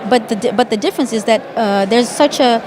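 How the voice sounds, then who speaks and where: A woman's voice: on the high side, fast talker, background noise. A woman from Ethiopia, an Amharic native, answering interview questions as a magazine editor, thoughtful, with frequent restarts and fillers.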